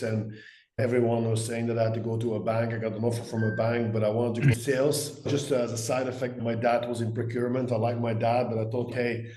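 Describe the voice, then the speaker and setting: A man with a Belgian accent speaks as an interview guest, recounting his working life. His delivery is fairly flat in pitch and his tone is mellow. The recording has a noticeable room echo. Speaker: guest, sales executive. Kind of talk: interview answers, career storytelling. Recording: roomy, echoey sound.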